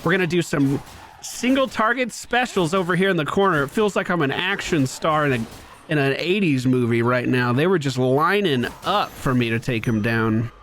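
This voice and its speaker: A man's voice: a little high, expressive, normal speed, fairly bright tone, a little hiss or hum. A man streaming a video game, giving casual play-by-play commentary on his own moves with asides to viewers. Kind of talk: live gameplay commentary, casual.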